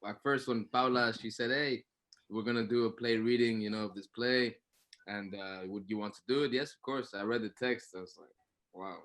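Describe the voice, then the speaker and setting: A man's voice: mid-range voice, fairly even intonation, moderate pace, a bit bright. A man, an actor, chatting casually in an interview, often repeating words and adding little filler phrases as he goes. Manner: repetitive, peppered with "you know".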